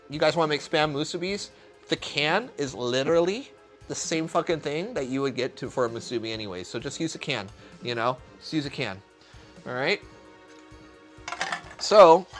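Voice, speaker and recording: A man's voice: fairly high pitch, fairly bright tone. A man who is a chef, cooking on a live stream and chatting with viewers in a crude, joking, profane way. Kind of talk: casual livestream cooking chat.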